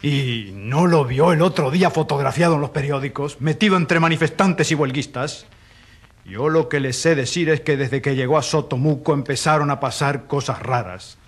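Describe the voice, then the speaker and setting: An actor playing a pious, servile hacienda steward who talks in a deferential, gossipy, complaining way in a radio drama. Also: male voice, on the high side, moderate pace, slightly rough. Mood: indignant, insinuating.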